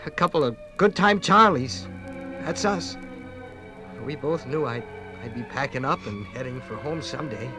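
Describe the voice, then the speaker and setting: A man's voice: medium pitch, normal speed, natural voice, low-quality recording. Man playing an earnest, stammering young soldier, music behind. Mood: wistful. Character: awkward, lovestruck young American soldier heading home. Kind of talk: 1950s radio drama acting. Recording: 1950s radio production from vinyl record.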